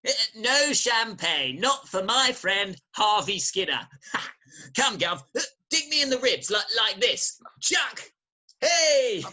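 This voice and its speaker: Bright, bouncy man acting a cocky young gentleman. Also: high-pitched, big pitch swings, takes it slowly, heavily acted. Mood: rowdy glee. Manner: hearty, egging others on. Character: cocky, boisterous young gentleman stepson out carousing. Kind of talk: staged reading of Victorian farce. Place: recorded remotely at home over video call.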